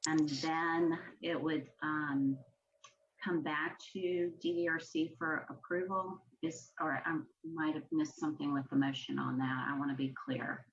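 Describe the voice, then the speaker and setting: A woman's voice: low voice, steady tone, a little slow. A woman working as commission staff, carefully clarifying procedure in a public meeting, with some hesitation. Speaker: commission staff member running the roll-call vote. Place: online public meeting call. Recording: slightly dull online meeting audio, noisy.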